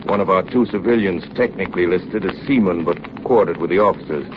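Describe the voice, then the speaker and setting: Elderly navy chief engineer played by a man, low-voiced, plainspoken, looking back; vintage radio drama, heavy hiss. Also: fast, natural voice. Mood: recounting. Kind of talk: 1930s radio drama narration. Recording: muffled, noisy 1930s radio recording.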